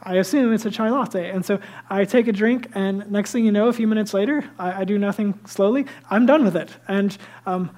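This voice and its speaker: A man with a high, light voice preaching a sermon as a pastor, telling stories lively and dropping into hushed asides. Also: fairly quick, hardly any pauses, poor audio. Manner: lively storytelling that drops to hushed, near-whispered asides; self-deprecating and conversational.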